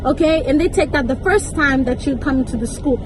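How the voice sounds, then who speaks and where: High-voiced young woman, animated and indignant. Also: brisk, noisy background. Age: young adult. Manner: animated, indignant, emphatic with slangy asides. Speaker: vlogger. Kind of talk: casual storytime, acting out conversations.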